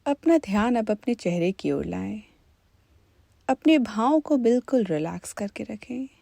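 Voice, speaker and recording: A woman leading a guided meditation as an instructor, in a calm, gentle, unhurried manner. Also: fairly high pitch, vocal fry, no room sound.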